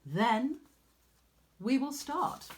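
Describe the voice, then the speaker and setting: A woman voicing a powerful, stern warrior goddess in a storybook, measured and commanding. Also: a little high, big pitch swings, pitched above the performer's own voice, exaggerated voice.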